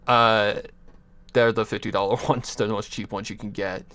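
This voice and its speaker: A man's voice: fairly low pitch, fairly even intonation, normal speed, bright, forceful tone. A young man casually chatting on a livestream, giving rambling advice with restarts, chuckles and offhand swearing into a USB desk mic. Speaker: streamer. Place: home computer desk.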